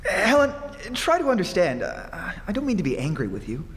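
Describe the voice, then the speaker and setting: A man acting a tormented concert pianist in an old-time radio horror play, overwrought and halting, on stage in a reverberant hall. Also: high-pitched, huge pitch swings, fairly bright tone, full character voice. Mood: agitated, evasive. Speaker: amateur stage actor. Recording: noisy live theatre hall, long reverb.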